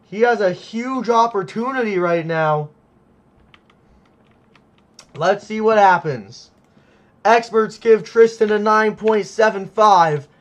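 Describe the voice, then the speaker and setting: A man commentating on a simulated monster truck event in an excited play-by-play style, hyped and exclaiming often, as his voice starts to go. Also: high voice, takes it slowly, long pauses. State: losing his voice.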